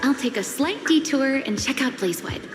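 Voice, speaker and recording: A woman playing a wistful, calmly stubborn traveler in a video game, with a languid, musing manner. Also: high voice, moderate pace. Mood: musing, resolved. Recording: roomy sound with clear music behind.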